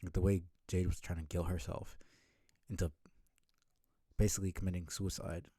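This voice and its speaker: A man's voice: low-pitched, flat delivery, normal speed, warm tone, slightly rough, no echo, studio quality. A man giving casual, rambling live-stream commentary in a blunt, sweary way. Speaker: streamer reading a webcomic aloud.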